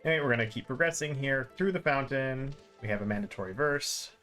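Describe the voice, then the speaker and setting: A man's voice: mid-range voice, fast talker, sharp tone. Casual man commentating a game, faint music. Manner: rambling, self-deprecating, informal. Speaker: streamer playing and explaining a game. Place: live stream with game music behind.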